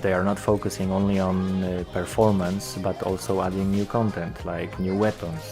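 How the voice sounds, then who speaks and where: A man's voice: low-pitched, flat delivery, moderate pace, music in the background. A young man from Poland with a Polish accent, speaking English as a second language, commenting on a game's updates with many hesitant filler sounds. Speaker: gaming YouTuber.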